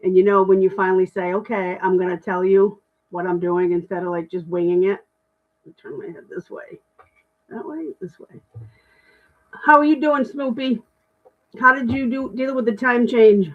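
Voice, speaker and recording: A middle-aged woman with a deep voice, chatting candidly and self-deprecatingly on a livestream while reading comments. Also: moderate pace, phone-quality sound. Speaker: livestream host.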